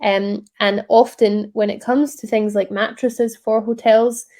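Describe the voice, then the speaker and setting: A Scottish woman, a startup co-founder, giving an upbeat, chatty pitch presentation over a video call, with frequent ums. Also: flat delivery, measured pace, a little mellow. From Scotland.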